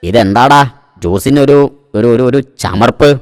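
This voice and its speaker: A man's voice: mid-range voice, measured pace, a little hiss or hum, lightly acted. A man voicing a self-assured but naive car owner, a comic simpleton in a cartoon. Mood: suspicious, drowsy.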